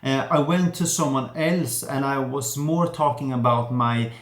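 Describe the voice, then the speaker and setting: A young man from Sweden, speaking calmly and thoughtfully about himself, stammering with repeated sounds and restarts. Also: medium pitch, measured pace. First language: Swedish. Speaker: vlogger sharing his stutter. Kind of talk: personal reflective talk to camera. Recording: roomy echo.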